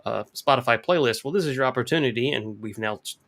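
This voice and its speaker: Male podcast co-host, mid-pitched voice. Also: fast talker, a bit bright. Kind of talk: casual music discussion.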